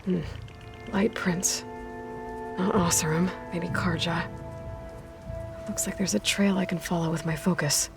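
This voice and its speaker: An actress playing a determined young female hunter in a video game, calm and matter-of-fact. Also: lowish voice, measured pace, long pauses. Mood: focused. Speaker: game protagonist. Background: game music.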